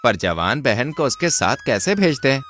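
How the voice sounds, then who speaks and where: A man playing a scheming village swindler in a Hindi folk tale, expressive and theatrical. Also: mid-range voice, normal speed, soft background music. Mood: troubled.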